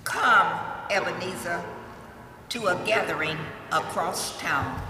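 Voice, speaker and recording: An actor as a slow, creaky, solemn spirit. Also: mid-range voice. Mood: solemn beckoning. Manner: stern, grave, deliberate. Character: solemn, commanding Christmas spirit guiding Scrooge. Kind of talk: 1940s radio acting. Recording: echoey hall, distant mic, noisy.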